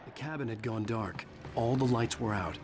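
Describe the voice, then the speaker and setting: An actor playing a troubled, quick-tempered writer and devoted husband in a brooding, intense video game performance. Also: male voice, medium pitch, music in the background, lightly acted. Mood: brooding, reflective. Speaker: protagonist and narrator. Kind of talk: cinematic game acting with brooding first-person narration.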